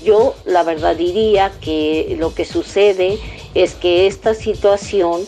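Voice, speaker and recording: A woman's voice: on the low side, fairly even intonation, takes it slowly, warm tone, soft background music. A woman speaking off the cuff as an expert interviewee.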